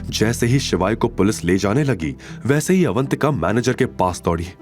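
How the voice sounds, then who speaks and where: A man's voice: fairly low pitch, animated, moderate pace, soft background music. A man narrating a scripted dramatic audio story.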